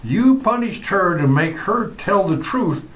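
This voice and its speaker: Radio comedy sidekick male actor: lighter, higher voice, lively range, wry teasing lawyer friend. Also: a little slow. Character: wry lawyer neighbour, amused friend of the father. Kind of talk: old-time radio comedy acting.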